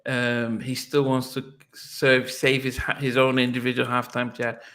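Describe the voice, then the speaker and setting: A man hosting an interview in a halting way, with many restarts. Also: mid-range voice, completely flat delivery, sharp tone. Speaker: interview host.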